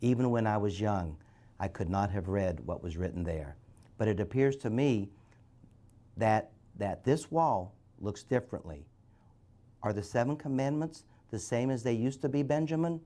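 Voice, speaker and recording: Man reading as a gentle, weary old mare. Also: fairly low pitch, lively intonation, moderate pace, lightly acted. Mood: weary puzzlement. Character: aged female horse, gentle and weary.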